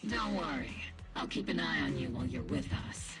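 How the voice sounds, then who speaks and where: A woman's voice: fairly low pitch, expressive, moderate pace, lightly acted. A woman voicing a stern, smirking army captain in a video game, with a dry, commanding manner. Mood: assured. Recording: loud music underneath. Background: loud game music.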